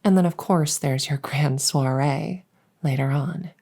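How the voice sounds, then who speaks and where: Woman acting a devoted, low-voiced vampire maid. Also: measured pace, dark tone. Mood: dutiful, gentle. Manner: soothing, polite, attentive. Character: devoted, gentle vampire maid serving a weary monarch. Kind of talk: ASMR roleplay. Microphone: close stereo condenser mic.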